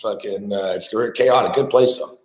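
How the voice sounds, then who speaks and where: A man hosting a sports betting show, chatting casually and loudly with plenty of swearing while he eats on air. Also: fairly low pitch, brisk. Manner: loud, profane, rambling banter. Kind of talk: casual live-stream chat while eating. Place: small studio. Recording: echoey room.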